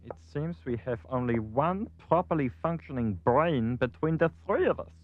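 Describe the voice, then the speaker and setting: A man's voice: on the high side, lively intonation, moderate pace, narrow, tinny audio, lightly acted. Pompous, swooping villain, played by a man. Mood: smug, scheming. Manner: precise, haughty. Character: pompous scheming villain with a cold, logical mind.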